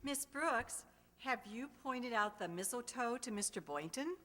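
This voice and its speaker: A woman's voice: fairly high pitch, lively intonation, a little slow, bright, forceful tone. A woman acting in a radio sitcom as a fussy, matchmaking middle-aged wife, insistent and chiding. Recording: echoey hall, long reverb.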